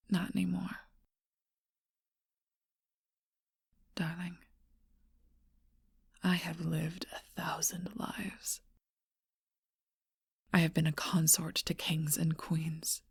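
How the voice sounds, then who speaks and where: An ancient, lonely vampire woman, whispered by a female performer breathes her words close to the mic in a slow, intimate hush. Her voice is deeply gravelly and breathy, pitched low and held flat, in a dry, close sound. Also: dry recording, full character voice. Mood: tender whisper. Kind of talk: whispered ASMR role-play.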